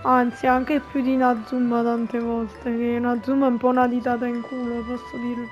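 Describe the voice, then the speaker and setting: A woman's voice: a little high, level tone, quick pace, dull audio. A woman from Italy giving casual, chatty, informal commentary as a gaming YouTuber. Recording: game audio and music behind. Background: video game music and dialogue.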